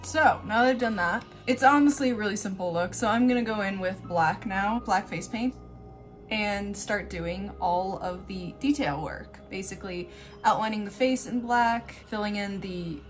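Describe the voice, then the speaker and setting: A woman's voice: mid-range voice, normal speed, soft background music. A woman hosting a makeup tutorial in a casual, chatty style, with an offhand, self-deprecating and informal way of talking.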